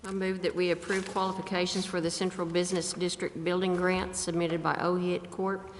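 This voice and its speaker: A woman's voice: lowish voice, level tone, brisk. A woman serving as a council member, formally reading motions in a reverberant chamber. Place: city council chamber, indoors. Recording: reverberant hall, faint noise.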